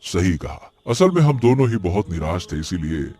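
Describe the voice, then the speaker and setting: Man playing a measured, reassuring cartoon farmer. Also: sits low, sing-song, dark tone, husky, full character voice. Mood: reassuring, calm. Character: struggling taro farmer Mohan, supportive, reflective childhood friend. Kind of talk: Hindi cartoon moral-story dialogue. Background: faint music.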